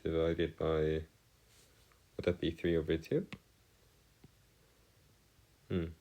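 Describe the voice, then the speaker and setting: A man's voice: extremely low pitch, flat delivery, slow, dark tone. A man giving an explanatory math lesson as a tutor, speaking in formal, wordy phrases and hesitantly correcting himself as he goes. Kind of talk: semi-improvised explanatory lesson.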